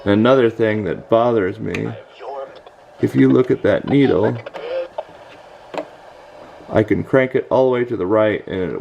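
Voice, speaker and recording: American male reviewer, low and gravelly, understated and hesitant, casual demo over faint noise. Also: highs cut off. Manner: hesitant, understated, matter-of-fact. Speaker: product reviewer. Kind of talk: casual product demonstration.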